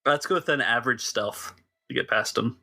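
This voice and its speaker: A man's voice: mid-range voice, a little fast, sharp tone. A man acting as game master, casually narrating a tabletop roleplaying game.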